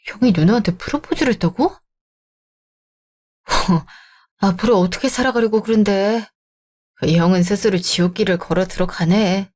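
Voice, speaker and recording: A woman's voice: mid-range voice, normal speed, pauses often, a little mellow, lightly acted. Brief: a woman playing a cheeky kid brother who mocks his sister, radio drama. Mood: teasing. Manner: teasing, sarcastic. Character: teasing younger brother, cheeky young man.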